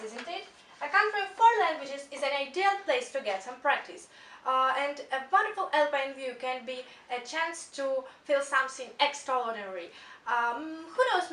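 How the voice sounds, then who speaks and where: High-voiced teenage girl giving a speech. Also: bright, forceful tone. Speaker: student presenter. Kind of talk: recited speech presentation. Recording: roomy, from a distance.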